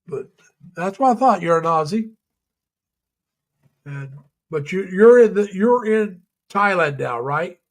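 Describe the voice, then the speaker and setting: A middle-aged man with a slightly raised pitch talks to his live-stream viewers over a home mic. He is folksy and rambling, laughs easily, and halts on plenty of uhs. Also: expressive, slow, long pauses. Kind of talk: casual chat reading viewer comments. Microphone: home webcam or computer mic.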